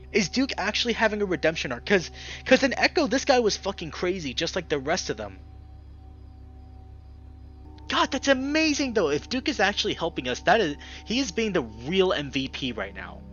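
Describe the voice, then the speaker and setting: A young man narrates a visual novel with a lively, swinging pitch and breaks into excitable commentary. Game music is clearly audible behind him, and the sound is slightly dull. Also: moderate pace. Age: young adult. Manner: excitable, emphatic reactions. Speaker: gaming video host reading a visual novel aloud.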